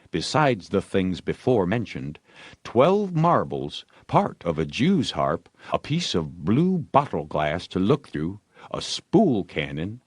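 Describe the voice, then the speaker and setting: A man reading scripted storybook narration in a deliberate, expressive way. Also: on the low side, no room sound, clean studio sound. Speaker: audiobook narrator.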